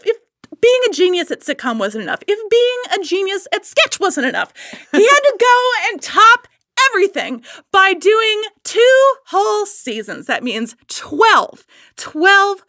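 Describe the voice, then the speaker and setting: A woman chatting casually as a podcast guest, gushing with animated, emphatic enthusiasm. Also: very high-pitched, measured pace, no echo, clean studio sound.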